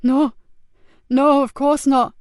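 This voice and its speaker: A woman's voice: high voice, flat delivery, takes it slowly, darkish tone, no room sound, clean studio sound, pitched above the performer's own voice. A woman voicing a protective female police inspector, urgent and raised. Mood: pleading.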